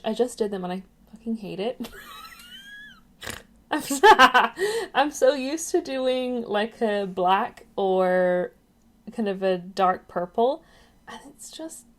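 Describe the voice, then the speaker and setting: A young woman chats casually to her viewers at home, rambling and drifting off topic as she talks into a webcam. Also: mid-range voice. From England, raised in Ireland. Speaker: YouTuber. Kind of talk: casual chatty vlog.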